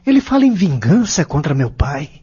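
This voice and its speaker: Male actor as kindly young man, lively highish husky voice, earnest, audio drama. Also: moderate pace, exaggerated voice. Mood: puzzled, reflective. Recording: dull lo-fi audio.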